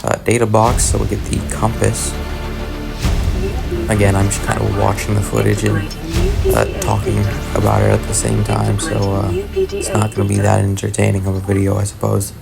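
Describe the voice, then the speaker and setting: Male gaming host for casual voice-over: very creaky, raspy, talked-out voice, low-key and hesitant, little pitch movement. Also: flat delivery, moderate pace, loud music behind the voice. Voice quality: raspy, gravelly. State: tired voice after long talking, throat-clearing. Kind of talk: casual voice-over commentary on gameplay. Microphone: voice-over recorded separately from the footage.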